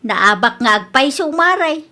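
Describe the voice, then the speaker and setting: A woman plays a shamelessly demanding older mother in a radio comedy drama. Her voice is high and bright, with a forceful edge. She nags bluntly and insistently. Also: big pitch swings, normal speed, heavily acted. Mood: brazen, unrepentant.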